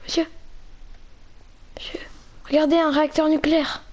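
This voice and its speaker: High-pitched teenage girl gamer. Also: level tone, moderate pace. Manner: exclamatory, repeats phrases. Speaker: player in a Minecraft roleplay video. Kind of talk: improvised gaming roleplay with excited exclamations.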